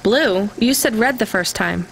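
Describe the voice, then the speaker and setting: An actress playing a young, plain-spoken and guarded delivery driver in a video game. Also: female voice, mid-range voice, unhurried, creaky. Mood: puzzled. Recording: music behind the voice.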